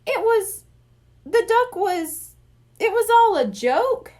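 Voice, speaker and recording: A woman voicing an excitable, gullible young boy in a children's storybook, wide-eyed and full of questions. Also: extremely high pitch, slow, voice pushed up, heavily acted. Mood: bewildered.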